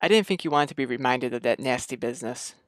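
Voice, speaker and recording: A man's voice: mid-range voice, quick pace, no room sound, lightly acted. Radio drama husband played by a man: devoted, uneasy, mid-range, close dry sound. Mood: uneasy, tender. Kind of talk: radio mystery acting.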